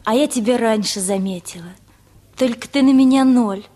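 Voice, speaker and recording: Lovestruck teenage girl for a radio play woman acting, high and slightly breathy, eager and artless. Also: normal speed, warm tone, lightly acted. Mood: teasing, candid.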